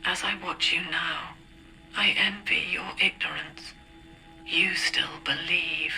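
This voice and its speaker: An actress playing a cold, philosophical mother villain in a game, eloquent and measured. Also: fairly low pitch, fairly even intonation, creaky, music in the background, low-quality recording. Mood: cold musing. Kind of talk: dramatic game voice acting.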